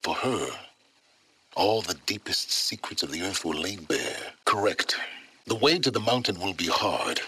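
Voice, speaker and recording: A secretive, learned middle-aged man from a video game, with a very low and husky voice. He speaks in formal, precise phrases, commanding and condescending, and his pitch moves with great expression. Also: lively intonation, a little slow, sharp tone, no echo, full character voice. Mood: cool, explanatory. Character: secretive, learned older male wanderer, imperious and impatient. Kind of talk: video game character dialogue.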